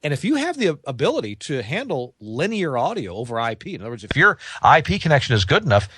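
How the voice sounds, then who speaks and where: A man's voice: medium pitch, very animated, fast, fairly bright tone, husky, dry recording. A man from the United States giving an informal, conversational product explanation as a company representative. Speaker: audio equipment company representative.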